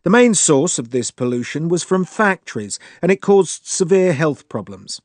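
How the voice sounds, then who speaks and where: A man's voice: on the high side, animated, normal speed, no echo. A man delivering a scripted academic lecture as the lecturer.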